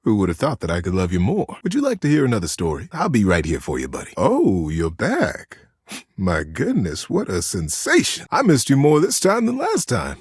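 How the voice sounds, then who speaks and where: A man voicing a devoted, sentimental teddy bear in a video game, talking in a gentle, folksy, earnest way with little stammers. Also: lowish voice, huge pitch swings, normal speed, husky, no room sound, clean studio sound, heavily acted. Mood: touched, tender. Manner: folksy, earnest, cozy wording with hesitant stammers. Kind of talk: video game character acting.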